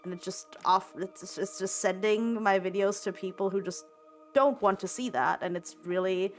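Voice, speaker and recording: A woman's voice: mid-range voice, moderate pace, faint music behind. A young woman streaming, chatting casually while she plays, repeating words and filling gaps with ums and likes. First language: German. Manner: rambling, repeats words, many fillers.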